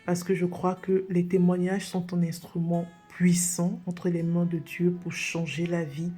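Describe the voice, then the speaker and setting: A woman's voice: on the low side, level tone, unhurried, dark tone, breathy edge, faint music behind. A woman from Cameroon sharing a personal faith testimony as a podcast guest, earnest and hesitant, with frequent fillers and restarts.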